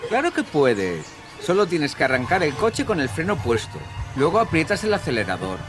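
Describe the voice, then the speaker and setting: An actor with a Castilian accent playing a calm, manipulative young killer in a radio drama, coaxing and falsely reassuring. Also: male voice, a little high, moderate pace. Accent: Castilian Spanish. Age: young adult. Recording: music bed under the voice.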